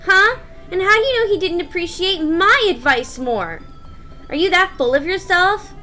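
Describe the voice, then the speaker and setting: Prickly little schoolgirl for visual novel, played by a woman: bratty, very high-pitched, snappish, soft music behind. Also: expressive, moderate pace, exaggerated voice. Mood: confrontational, angry. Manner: blunt, huffy, snappish. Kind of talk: visual novel voice-over read aloud.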